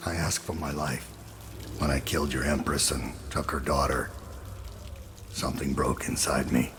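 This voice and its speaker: A man's voice: very deep voice, flat delivery, moderate pace, noisy background. A man playing a weary, guilt-ridden assassin in a video game, speaking in a grave, brooding, confessional way. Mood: grave, remorseful. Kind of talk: dramatic video game acting.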